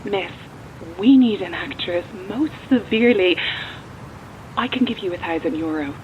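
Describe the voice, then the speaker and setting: A woman's voice: mid-range voice, expressive, normal speed. A woman playing an urgent, polite employee pleading formally over a gate intercom in an audio horror drama. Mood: urgent, insistent. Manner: formal, insistent. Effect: intercom filter. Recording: muffled, noisy intercom speaker.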